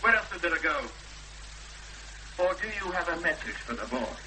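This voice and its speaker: An actor playing an angry, impatient fiancé, clipped and accusing, in a 1970s radio drama. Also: male voice, on the high side, big pitch swings, normal speed. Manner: clipped, accusing, pressing with blunt questions. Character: forceful, angry young fiancé confronting a victim, impatient and accusing. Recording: faintly noisy, humming old radio broadcast.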